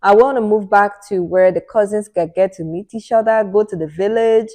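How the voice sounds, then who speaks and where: A woman's voice: mid-range voice, moderate pace, mellow. Earnest Nigerian woman giving advice. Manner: earnest, advising, repeats and finishes the other's phrases. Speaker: podcast co-host. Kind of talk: casual chat.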